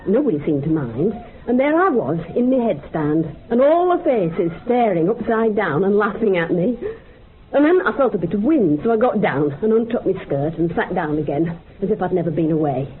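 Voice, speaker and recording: A woman's voice: mid-range voice, big pitch swings, normal speed, natural voice. An actress playing a woman in a radio drama, telling a rambling, colloquial story of a haunted memory. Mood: fond, chatty. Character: woman recounting a dream of wartime dead in a club. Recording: muffled narrow-band radio recording, background hiss.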